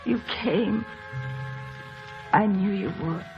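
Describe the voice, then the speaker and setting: A woman's voice: medium pitch, slow pace, natural voice. An actress playing a dying, lovesick young woman with wistful, theatrical delivery in an old-time radio drama. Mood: pleading, anguished. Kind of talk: 1940s-50s radio drama acting. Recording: muffled old radio broadcast with music.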